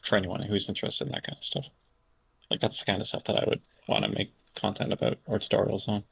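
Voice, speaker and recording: A young Canadian man with a low, gravelly voice full of vocal fry, speaking casually as a podcast guest. He rambles and hesitates, restarting his sentences and leaning on filler words. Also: steady tone, quick pace, fairly bright tone, slight background noise. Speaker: interview guest.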